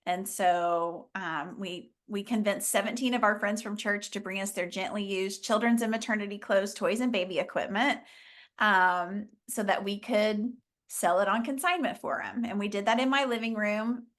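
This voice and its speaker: A woman's voice: medium pitch, moderate pace, a bit bright. Upbeat chatty woman telling her story. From Oklahoma. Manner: chatty, laughs often, upbeat. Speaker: franchise founder, interview guest. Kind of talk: casual storytelling.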